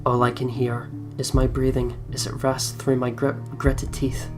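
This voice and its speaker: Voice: mid-range voice, level tone, measured pace, music in the background. A game video host reading a horror visual novel aloud dramatically, with casual asides.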